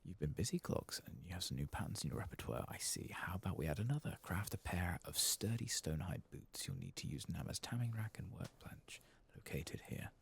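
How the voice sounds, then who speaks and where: A man giving soft-spoken, sometimes whispered ASMR commentary on a game, murmuring to himself and reading text aloud. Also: low voice, lively intonation, fairly quick, gravelly, few pauses, slight background noise. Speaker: ASMR gaming channel host.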